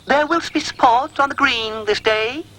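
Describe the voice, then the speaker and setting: A man voicing a pompous, excitable male dog in a storybook reading, with blustering exclamations and grand airs. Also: extremely high pitch, a little slow, voice pushed up, exaggerated voice. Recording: music playing behind.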